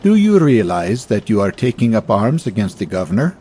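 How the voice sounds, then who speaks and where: A man's voice: lowish voice, very animated, a little fast, dark tone, slightly rough. A man playing a stern, dutiful lieutenant who speaks formally and demandingly in a dramatized audiobook. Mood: stern authority. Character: stern, dutiful male lieutenant asserting his authority.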